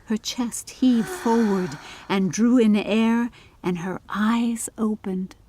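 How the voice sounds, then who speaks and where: Slow, measured woman narrating a storybook. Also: medium pitch, dark tone, slightly rough. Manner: measured, deliberate, literary delivery. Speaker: audiobook narrator.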